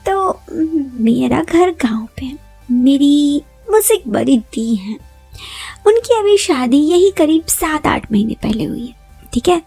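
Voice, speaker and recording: A woman's voice: sits high, lively intonation, takes it slowly, gravelly, warm tone, soft background music. A young woman narrating a first-person story in a chatty, confiding way, often addressing listeners with rhetorical asides.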